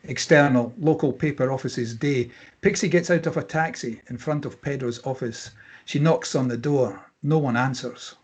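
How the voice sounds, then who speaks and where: A man with a husky voice reading scripted stage directions as narrator at a table read. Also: mid-range voice, normal speed.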